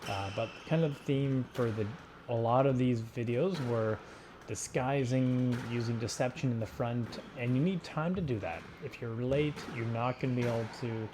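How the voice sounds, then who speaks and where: A man with a medium-pitched voice gives instructional sports commentary as a coach. He explains in an informal, halting way, piecing his phrases together as he goes. Clear background noise with bouncing ball sounds runs behind his voice. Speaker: squash coach. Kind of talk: instructional sports commentary over drill footage.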